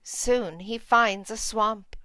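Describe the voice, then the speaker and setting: A woman's voice: fairly high pitch, takes it slowly, pushed and bright, dry recording. A woman reading a children's storybook aloud as narrator. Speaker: storybook reader.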